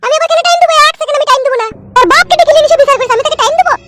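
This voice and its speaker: A woman's voice: extremely high pitch, very fast, very noisy. A woman playing a quarrelsome, spiteful village wife in a comic cartoon, scolding and threatening. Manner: threatening, scolding, foul-mouthed.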